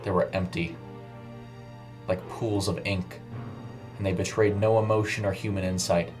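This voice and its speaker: A man's voice: sits low, fairly even intonation, normal speed. A man narrating a scripted horror short story in a dramatic storytelling style. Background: music under the voice.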